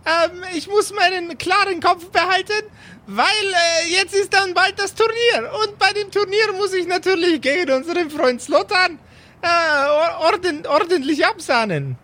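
A man's voice: very high voice, measured pace, sharp tone, exaggerated voice. A man voicing a prim, stammering, smug magician rival in an improvised tabletop audio play. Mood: prim, boastful. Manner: stammering, hesitant.

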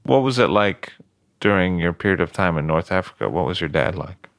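A man with a low voice, recorded dry and close, hosting a podcast. He reads an ad script in an even, scripted way and then asks his guest questions. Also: brisk, no echo. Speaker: podcast host. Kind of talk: read-out ad and interview questions.

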